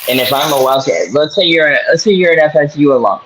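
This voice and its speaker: A man co-hosting a casual sports talk show, chatting in an animated, joking way. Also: on the high side, quick pace, low-quality recording. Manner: animated, slips into a mock New York accent. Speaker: co-host.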